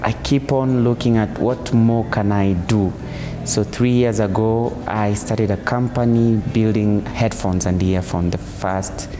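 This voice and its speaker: Deep-voiced man telling his story. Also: fairly even intonation, measured pace, noisy background. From Kenya. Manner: straightforward, step-by-step storytelling. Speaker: video director and entrepreneur. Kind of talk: interview testimonial. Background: background music.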